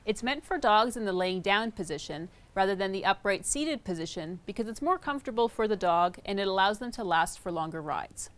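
A woman in her thirties reading a scripted product introduction in a clear, even delivery. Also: medium pitch, fairly quick, few pauses.

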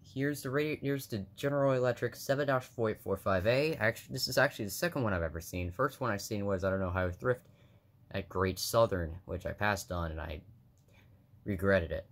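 Young male hobbyist, low-ish voice, offhand phone-camera narration with fillers. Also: a little fast. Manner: offhand, listing items with fillers and self-corrections. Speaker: hobbyist vlogger. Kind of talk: casual show-and-tell narration. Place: indoors, room with carpeted floor.

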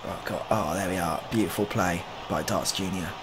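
A man's voice: deep voice, normal speed, noisy background. A man in his thirties giving casual, joking game commentary, muttering in mock exasperation with mild swearing and repeated phrases. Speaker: gaming video creator.

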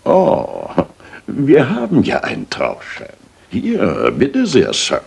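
An actor playing a surly villain, a crooked defrocked priest with a gravelly voice, in a 1980s German radio drama. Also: male voice, mid-range voice, wildly swooping pitch, takes it slowly, heavily acted. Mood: mocking. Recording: slightly noisy retro radio recording.

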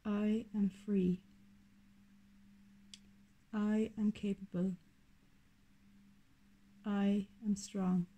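A woman leading a guided meditation in a soothing, deliberate manner, speaking in short, unhurried phrases. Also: medium pitch, little pitch movement, warm tone, rough recording.

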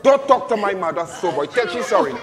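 A man acts out a brash teenage boy standing up for his mother, his voice sitting very high. He taunts and mocks, sliding into tearful pleading, with a long reverb trailing behind him. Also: big pitch swings, a little fast, a bit bright. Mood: taunting. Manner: cocky and mocking, turning tearful. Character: defiant teenage son protecting his mother.

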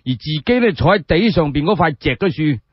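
A man with a Cantonese accent telling a story in an expressive, colloquial radio storytelling style. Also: on the high side, fast talker, breathy edge, no echo, dull audio. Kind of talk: animated solo radio storytelling.

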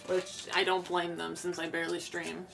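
A young woman streamer chatting casually and softly while wrapping gifts on her bedroom floor, stammering a little now and then. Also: on the low side, normal speed, gravelly, low-quality recording. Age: young adult. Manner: soft-spoken, relaxed, stammers a little. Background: background music.

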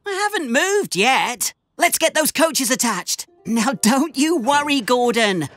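A bubbly young male cartoon engine, helpful and playful. The high voice swings up and down with excitement at an unhurried pace, over clear background music. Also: dry recording, full character voice. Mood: cheerful, eager. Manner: bubbly, exclamatory. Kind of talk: children's animated series dialogue.

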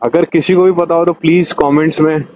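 A man in his thirties riding a motorbike on a highway, chatting slowly about his route as a travel vlogger and thinking aloud. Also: steady tone, a little mellow. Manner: slow, easygoing, thinking aloud. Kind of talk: casual ride commentary mixing Hindi and English. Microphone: helmet or bike-mounted action camera. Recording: dull lo-fi mic with road wind noise.

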